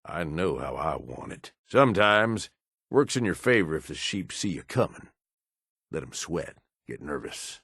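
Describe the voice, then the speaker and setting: A man's voice: deep voice, sing-song, a little slow, a bit bright, husky, no echo, clean studio sound, heavily acted. An actor playing a cynical, foul-mouthed, hard-bitten raider henchman in a video game, talking in blunt tough-guy slang. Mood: sly, easygoing. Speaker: game companion character.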